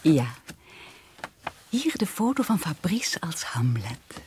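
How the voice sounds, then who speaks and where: A woman's voice: sits low, sing-song, slow pace, a little mellow, slight background noise, full character voice. Dreamy young woman in love, widely swooping voice. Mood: dreamy, confiding. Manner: breathy, rapturous, half-whispered confiding. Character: dreamy, infatuated young aunt confiding in a small child. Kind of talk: 1980s Dutch radio drama acting.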